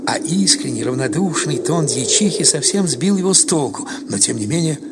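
A man's voice: a little high, expressive, moderate pace. A man reading scripted literary narration as the narrator of a radio drama. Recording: loud background noise and ambience.

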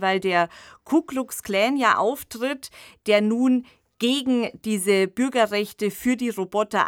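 A woman's voice: mid-range voice, no echo, studio quality. A woman co-hosting an analytical discussion, explaining reflectively in a conversational way and often tagging phrases with "ne". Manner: explanatory, reflective, frequent "ne" tags. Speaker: podcast co-host and expert commentator.

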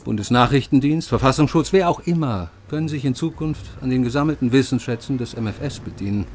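Radio drama informant, male actor: mid-pitched, composed and discreet, measured explanatory delivery, slight outdoor noise. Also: natural voice. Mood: dry, explanatory. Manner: matter-of-fact, measured, explanatory.

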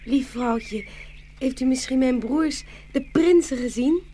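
A woman's voice: high-pitched, slow, mellow, slight background noise. A woman playing a sweet, devoted young princess in a fairy-tale radio play, earnest and girlish. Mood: polite, anxious.

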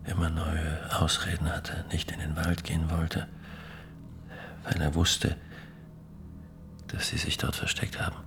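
An actor playing a grim, proud farmer husband in a radio play, speaking in a hushed, gravelly voice, brooding and insistently justifying himself. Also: male voice, extremely low pitch, monotone, unhurried, pauses often, in a whisper, heavily acted. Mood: bitter conviction.

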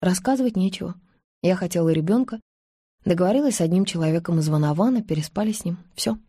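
A woman's voice: lowish voice, fast, dark tone, husky, dry recording. Wronged pregnant villager played by female reader, audiobook drama. Guarded and bitter, defiant, dry studio sound. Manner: breaking, breathless outcry when provoked.

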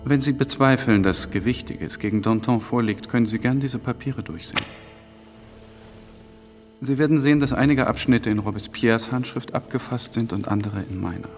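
An actor playing a cold, imperious revolutionary official, curt and quietly menacing, in a radio drama. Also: male voice, medium pitch, moderate pace, long reverb tail, soft background music, lightly acted. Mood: cold command. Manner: curt, commanding.

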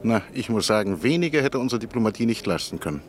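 A worried, grumbling politician in a radio drama. His lowish voice hurries along with lively melody, murmuring his complaints. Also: fast, slight background noise. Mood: troubled. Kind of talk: German radio drama acting.

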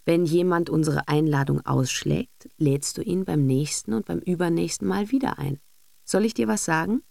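A woman playing a brisk, cynical, gravelly businessman husband. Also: fairly low pitch, mellow, no echo. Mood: sardonic. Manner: bossy, dismissive, sardonic. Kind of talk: literary audiobook character acting.

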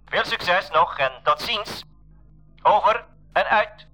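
Casting: headquarters radio operator in a radio play, high, piercingly bright voice, formal and brisk. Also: male voice, faint music behind, full character voice, rough recording. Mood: formal, obliging. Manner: formal radio procedure speech. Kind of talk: 1980s school radio drama acting.

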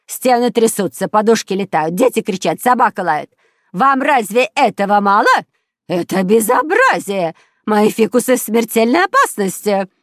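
A woman voicing a furious, fussy older female neighbour in a children's storybook, loud and indignant. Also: medium pitch, very animated, normal speed, no room sound, studio quality, pitched above the performer's own voice. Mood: furious, shouting. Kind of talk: children's audio storybook character voice.

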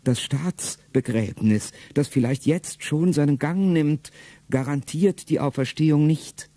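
A middle-aged man speaking a first-person radio play monologue in a low, intimate way that often sinks to a whisper. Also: a little slow, warm tone, no room sound.

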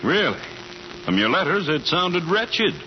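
A man's voice: a little high, big pitch swings, measured pace. An actor playing a hearty, sentimental, fatherly older newspaper boss in a 1940s radio comedy. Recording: muffled, noisy old radio broadcast.

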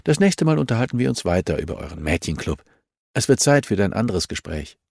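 A man's voice: fairly low pitch, sing-song, brisk, gravelly, darkish tone, dry recording, studio quality, full character voice. A man voicing a businesslike female investigator in an audiobook, firm, wry and politely insistent. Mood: brisk, kindly. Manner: matter-of-fact, politely insistent.

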